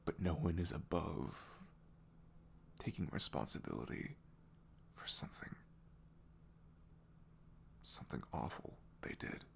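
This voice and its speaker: A man playing an apologetic, vulnerable ex-boyfriend in an intimate ASMR roleplay, with a breathy half-whisper and a hesitant, emotional delivery. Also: rumbling low, animated, unhurried, pauses often, a bit bright, heavily acted. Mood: earnest, pained.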